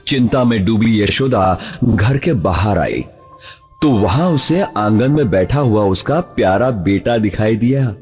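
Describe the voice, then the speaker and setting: A man narrating a scripted children's story in an expressive, dramatic way. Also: mid-range voice, husky. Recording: music behind the voice.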